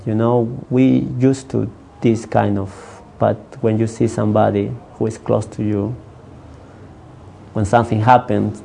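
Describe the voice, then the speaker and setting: A man working as a counselor whose first language is not English, answering interview questions in a halting way, with many restarts. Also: on the low side, vocal fry, warm tone, a little hiss or hum.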